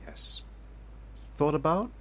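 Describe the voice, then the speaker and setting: A man's voice: a little high, wildly swooping pitch, slow, a bit bright, exaggerated voice. A man playing a weary, irritable musician in an audio drama, evasive and withdrawn in manner. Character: weary, irritable male musician, leaving his country, evasive lover. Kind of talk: audio drama acting, monologue and domestic dialogue. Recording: music under the voice.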